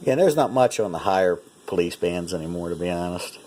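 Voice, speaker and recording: A man's voice: low-pitched, animated, fairly quick, darkish tone, a little hiss or hum, poor audio. A North American man giving a casual, unscripted product demonstration, thinking aloud in a plain-spoken, conversational way. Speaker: presenter demonstrating a vintage radio.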